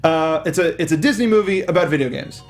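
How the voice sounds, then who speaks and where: A young man in his twenties with a fairly high voice hosts a casual movie discussion. He sounds keen and talks like a fan guiding the conversation. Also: fast talker, faint music behind. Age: 20s. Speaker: movie podcast host. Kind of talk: casual film review chat.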